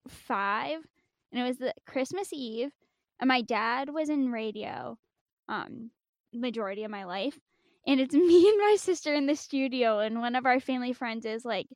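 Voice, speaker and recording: A young woman with a high, young-sounding voice, chatting casually and laughing at herself as a podcast guest. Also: no echo, clean studio sound. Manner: chatty, giggly, self-deprecating.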